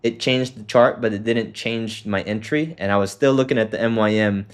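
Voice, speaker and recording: A man's voice: fairly low pitch, normal speed, clear, resonant tone. Laid-back man walking someone through setup. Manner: loose, filler-heavy, swears casually. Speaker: trading coach. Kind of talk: casual walkthrough on a call.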